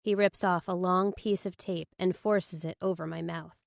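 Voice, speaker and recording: A woman's voice: on the low side, fairly even intonation, normal speed, creaky, dry recording, clean studio sound. A woman reading scripted first-person thriller narration as an audiobook narrator.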